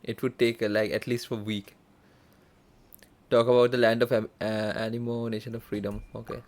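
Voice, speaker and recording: A woman's voice: a bass voice, level tone, moderate pace, a little mellow. A woman game streamer giving casual live commentary, with murmured short reactions and hesitant phrasing.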